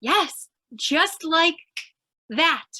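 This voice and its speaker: A woman's voice: extremely high pitch, expressive, very slow, sharp tone, a little hiss or hum, full character voice. A woman playing an eager, overconfident, bossy reporter in old-time radio style, gushing and theatrical. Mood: breezy confidence. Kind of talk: old-time radio comedy-thriller acting.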